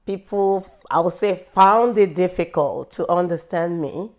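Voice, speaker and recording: A middle-aged woman from Nigeria with a Nigerian accent, speaking slowly and thoughtfully in an interview about her life, with frequent pauses and fillers. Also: fairly low pitch. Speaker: interview guest. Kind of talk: reflective personal interview.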